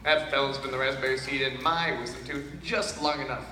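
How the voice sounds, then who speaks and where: A man's voice: medium pitch, steady tone, bright, forceful tone, a little hiss or hum, close to an everyday voice. A man playing a brash traveling salesman. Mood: indignant. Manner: folksy, fast-talking, indignant. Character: blustering traveling salesman, brash and hot-tempered. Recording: roomy old film soundtrack.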